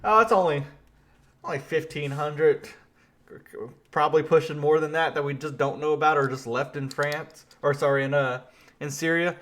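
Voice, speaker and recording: A man's voice: on the high side, moderate pace. Man ranting about the news. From Louisiana. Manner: animated, laughing, restarts. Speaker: political commentary host. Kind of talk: casual political commentary.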